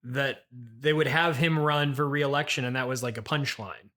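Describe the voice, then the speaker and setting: A man's voice: mid-range voice, moderate pace, bright, forceful tone. A man hosting an improvised comedy interview, speaking in a tentative, apologetic, hedging way. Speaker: podcast host.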